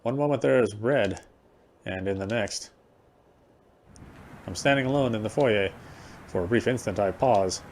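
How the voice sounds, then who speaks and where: A man's voice: on the low side, a little slow, pauses often. A man reading a horror visual novel aloud as playthrough host, brooding and occasionally stumbling over words. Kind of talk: dramatic read-aloud narration of a horror game. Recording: clear background noise.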